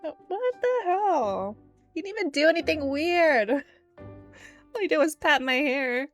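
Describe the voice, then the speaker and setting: High-voiced, playful woman livestreamer. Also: slow pace, faint music behind. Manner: playful, giggly, expressive. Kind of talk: casual chat with stream viewers.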